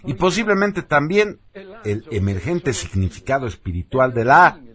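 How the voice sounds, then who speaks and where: A man's voice: medium pitch, big pitch swings, normal speed, a bit bright. A man presenting radio news, reading scripted narration.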